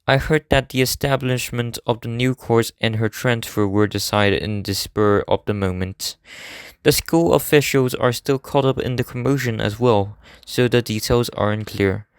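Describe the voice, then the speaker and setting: A man acts as a serious teenage idol student in an anime fan dub. His voice is smooth and clear in a dry, close recording. He explains things earnestly, in formal, measured sentences. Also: mid-range voice, no echo, close to an everyday voice. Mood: serious, explanatory. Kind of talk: scripted anime game fan dub acting.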